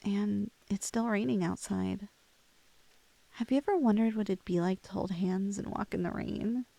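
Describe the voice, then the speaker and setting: A woman's voice: mid-range voice, normal speed, gravelly, mellow, dry recording. A woman playing a lovestruck, clingy young woman in an intimate ASMR roleplay, playful and hesitant, with a teasingly unhinged streak. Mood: wistful.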